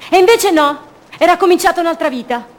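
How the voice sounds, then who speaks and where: A woman's voice: extremely high pitch, fast, a little hiss or hum. An actress playing a fragile, haunted captive woman in a radio drama, speaking in short fragments and childlike repetitions. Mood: anguished, reflective. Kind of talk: theatrical monologue, radio drama.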